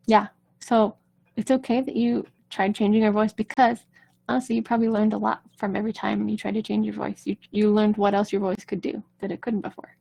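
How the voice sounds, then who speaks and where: Woman, vocal coach, casual and reassuring, dry close sound, dark tone. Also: mid-range voice, little pitch movement, brisk, creaky, dry recording. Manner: casual, reassuring, talks straight to viewers. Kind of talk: live-streamed coaching chat.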